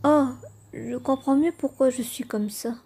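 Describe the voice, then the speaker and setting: A woman's voice: high-pitched, measured pace, dark tone, husky, heavily acted. A woman voicing a curious, easily bewildered puppet boy in an amateur comic dub, earnest and wondering. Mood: confused.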